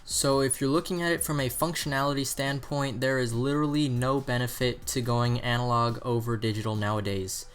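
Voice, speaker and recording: A man's voice: medium pitch, steady tone, a little fast, hardly any pauses, smooth. A man presenting an explanatory buying guide in the style of a product review narration.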